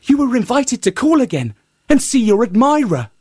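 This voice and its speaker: A man voicing a sly, courtly male hare trickster in a high, wheedling voice. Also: big pitch swings, normal speed, darkish tone, breathy edge, no room sound, studio quality, exaggerated voice.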